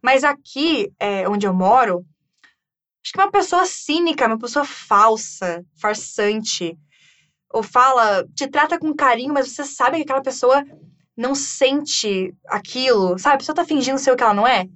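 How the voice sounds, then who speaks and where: A Brazilian woman hosting a casual bilingual chat as an English teacher, lively and chatty. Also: high voice, normal speed. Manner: lively, chatty, laughs often.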